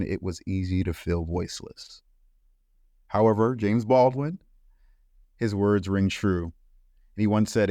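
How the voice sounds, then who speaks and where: A man from Brooklyn, a podcast co-host reading a solemn, deliberate reflection. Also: sits low, a little mellow, dry recording, clean studio sound. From Brooklyn, New York. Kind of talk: scripted reflective monologue.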